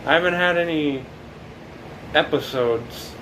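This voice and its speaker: A young man in his late teens talking casually about his life, rambling and halting, with many fillers and restarts. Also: on the high side, noisy background. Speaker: documentary subject. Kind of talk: casual reflective monologue.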